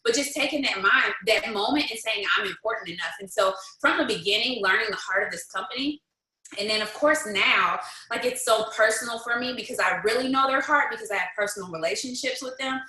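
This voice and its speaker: A woman's voice: fairly high pitch, fairly quick, pushed and bright, roomy sound, rough recording. A woman in her thirties telling stories as a guest, bold and emphatic, laughing often. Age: 30s. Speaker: guest, business leader. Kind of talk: casual storytelling, motivational.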